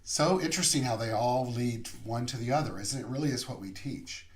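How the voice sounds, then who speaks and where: A male minister speaking at an unhurried pace, leaving long pauses and letting his phrases settle. His voice sits at an ordinary middle pitch. The sound carries a noticeable room echo. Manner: slow, reflective, pausing between phrases. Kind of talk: sermon. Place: room, via online service. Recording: roomy echo.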